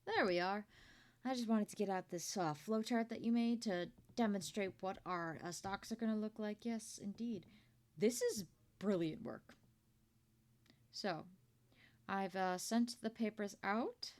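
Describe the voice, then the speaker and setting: A woman playing a kindly, proud older company founder in an ASMR roleplay, chatty and doting, with a creaky voice. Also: mid-range voice, unhurried, close to an everyday voice. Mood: pleased, businesslike. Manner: gentle, chatty, doting, with throat-clearing and rambling asides. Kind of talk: ASMR roleplay monologue to the listener.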